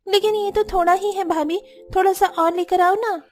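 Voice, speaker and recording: A woman's voice: extremely high pitch, fairly even intonation, normal speed. A woman voicing cheeky, lazy young sisters-in-law in a cartoon story, chirpy and wheedling. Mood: cheeky, demanding. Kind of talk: Hindi cartoon story acting.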